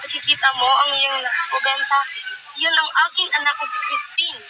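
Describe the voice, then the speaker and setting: A woman acts out a madwoman mother for a puppet show. She is distraught and pleading, drifting through repetitive, drawn-out phrases about her lost children. Prominent music plays underneath her. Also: sits high, steady tone, voice pushed down, low-quality recording. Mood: pleading, eerie. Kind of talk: puppet show dramatic acting. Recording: music playing behind the voice.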